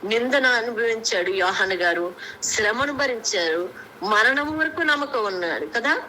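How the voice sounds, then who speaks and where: A woman from India preaching an extemporaneous Bible sermon, earnest and halting, often restarting her words, over a conference call. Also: a little high, bright, forceful tone. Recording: noisy conference call audio.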